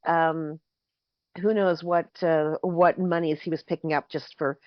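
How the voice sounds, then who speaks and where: A woman with a fairly low, slightly hoarse voice lays out her points patiently, often circling back to rephrase. The sound is dry and close with no room around it. The recording is a little dull and lo-fi. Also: flat delivery, a little slow, no echo. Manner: explains at length, thinking aloud with frequent self-corrections. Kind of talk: casual explanatory chat.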